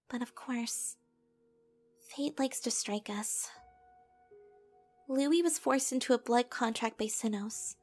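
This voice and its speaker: A woman acting a gentle, vulnerable young heroine in an audio drama, telling her past in an earnest, emotive, confiding way. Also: sits high.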